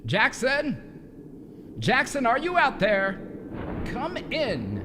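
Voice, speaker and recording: A man's voice: very high voice, huge pitch swings, extremely slow, noisy background, full character voice. An actor playing an irritable, domineering older husband obsessed with his work, scolding impatiently in an old-time radio horror style. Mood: irritated.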